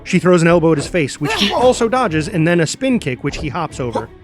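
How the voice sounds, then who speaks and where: A man's voice: fairly high pitch, sing-song, brisk, music in the background. A man reading scripted action narration as the narrator.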